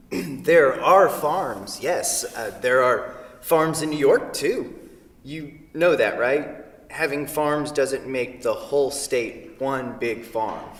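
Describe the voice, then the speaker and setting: An awkward Midwestern writer, played by a man in a staged play reading, answers in a hesitant, dryly defensive way. His mid-pitched voice is picked up from a distance in a hall with heavy echo. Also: takes it slowly, lightly acted. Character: dry, awkward Midwestern freelance writer named Kent. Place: theatre, recorded from a distance. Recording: distant mic in echoey hall.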